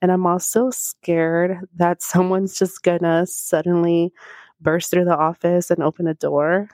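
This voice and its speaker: A woman's voice: fairly low pitch, little pitch movement, a little slow, a little mellow, no room sound, studio quality. Scratchy-voiced woman chatting casually. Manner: chatty, rambling, filler-heavy storytelling. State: scratchy voice from recovering illness. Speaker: podcast host. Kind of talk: casual solo podcast chat. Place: small quiet office, alone. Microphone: small handheld microphone.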